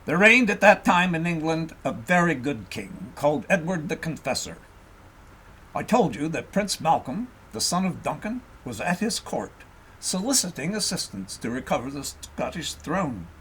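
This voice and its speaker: A man narrating a historical tale as an audiobook reader, in an expressive storytelling style. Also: on the high side, moderate pace, slight background noise, low-quality recording.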